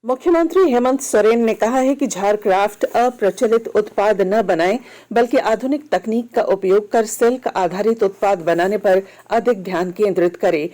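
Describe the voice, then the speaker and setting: Female news reader, soft-edged warm tone, medium pitch, formal and measured bulletin delivery.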